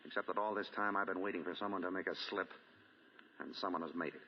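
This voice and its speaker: An actor playing a shrewd, composed amateur detective in 1940s radio drama style, with probing, deliberate questioning. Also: male voice, low voice, fairly even intonation, long reverb tail, a little hiss or hum. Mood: grave, accusing. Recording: dull, lo-fi 1940 radio broadcast.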